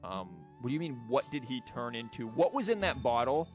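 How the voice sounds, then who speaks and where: A man's voice: mid-range voice, lively intonation, brisk. A young man streaming a story game live, swearing and making sarcastic jokes at the characters. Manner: profane, sarcastic joking at the game. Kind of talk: live gameplay commentary. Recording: faint game music behind.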